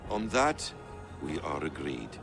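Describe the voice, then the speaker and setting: An actor playing a wise, self-assured assassin mentor in a video game, speaking in a measured, precise way. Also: male voice, rumbling low, sing-song, vocal fry, music in the background, heavily acted. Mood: assured, composed. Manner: measured, precise, gently correcting. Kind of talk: video game cutscene acting.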